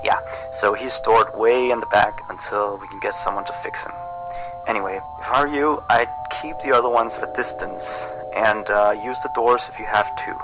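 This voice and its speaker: A man's voice: fairly low pitch, fairly even intonation, moderate pace, lightly acted. A man playing an awkward, nervously reassuring employee leaving a rambling, stammering phone message in a horror game. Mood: awkward, uneasy reassurance. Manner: hesitant, stammering, rambling, downplaying. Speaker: night-shift phone guide in a horror game. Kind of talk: recorded phone message, acted as casual rambling. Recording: phone call over background music.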